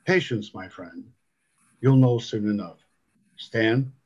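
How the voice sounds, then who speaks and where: Storybook villain, voiced by a male reader: deep, growling, unhurried menace, taunting, slightly dull recording. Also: a little mellow, husky. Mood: calm menace.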